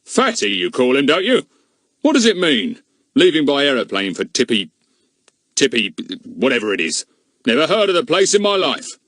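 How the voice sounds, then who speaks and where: A pompous, short-tempered village policeman, as a man puts him on for a storybook reading. He blusters and scolds, pitched higher than the reader's usual speech, with the words swinging up and down and sounding a little set back. The sound is dry and close. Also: sing-song, normal speed, fairly bright tone, no echo, full character voice. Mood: scornful. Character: pompous, irritable middle-aged village policeman.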